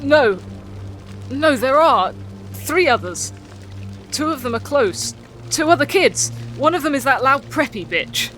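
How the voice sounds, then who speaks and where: A man's voice: very high voice, lively intonation, a little slow, long pauses, pushed and bright, faint music behind, exaggerated voice. A man playing a frantic, blunt, sweary teenage boy in an audio drama. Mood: tense, blunt. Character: panicked, sweary British teenage boy searching for sister.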